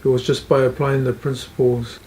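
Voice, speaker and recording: A man's voice: medium pitch, level tone, fairly quick, a little mellow, a little hiss or hum, low-quality recording. A middle-aged man, earnestly sharing his experience in an interview, often repeating and restarting phrases. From Tonga, raised in New Zealand. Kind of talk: testimonial interview.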